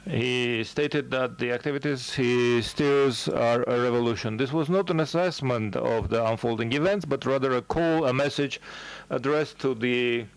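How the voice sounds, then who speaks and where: A man delivering a formal academic lecture as a scholar, speaking in measured, halting phrases. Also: medium pitch, smooth, dry recording, low-quality recording.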